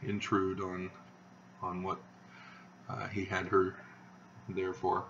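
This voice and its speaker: Slow monotone man, rambling. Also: deep voice, distant mic, muffled recording, a little hiss or hum. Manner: slow, halting, many fillers. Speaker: video channel host. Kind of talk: rambling monologue to camera.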